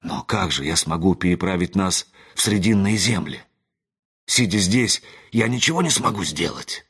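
A man's voice: deep voice, lively intonation, moderate pace, fairly bright tone, slightly rough, exaggerated voice. A man playing a great noble wizard, anxious and burdened, speaking in an earnest, dramatic manner in a fantasy audiobook. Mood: troubled.

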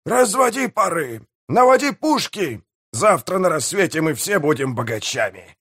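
A man's voice: fairly high pitch, animated, moderate pace, no room sound, clean studio sound, voice pushed up, close to an everyday voice. A man voicing a boastful, greedy pirate mayor in an audiobook, blustering and commanding in a theatrical way. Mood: rousing, shouting.

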